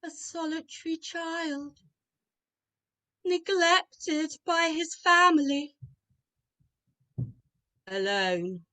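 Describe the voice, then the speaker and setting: A woman's voice: extremely high pitch, very slow, pauses often, pushed and bright, heavily acted. A woman playing a solemn, commanding ageless spirit in a radio play, with a stately, declamatory manner. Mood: probing. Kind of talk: radio play rehearsed reading over video call. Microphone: video call.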